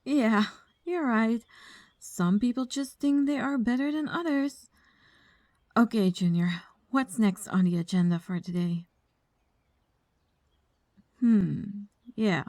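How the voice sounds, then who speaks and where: A woman playing an exasperated, bossy mafia boss in an ASMR audio roleplay, soft-spoken and unhurried. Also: on the high side, no echo, clean studio sound. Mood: relaxed, businesslike.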